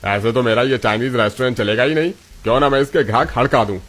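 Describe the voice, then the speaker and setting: A man's voice: mid-range voice, fast talker, a bit bright, natural voice. A man plays a cartoon restaurant owner full of arrogance and envy. He taunts and boasts with a sneer, forever scheming against his rival. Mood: scheming, jealous. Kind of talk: Hindi cartoon story dialogue.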